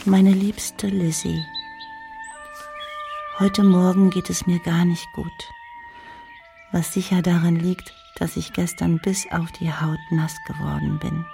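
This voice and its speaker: A woman playing a gentle, modest young lady in a German radio play, softly reading in a measured way. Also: on the low side, flat delivery, gravelly, faint music behind. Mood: gentle, reassuring. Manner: soft, measured letter reading.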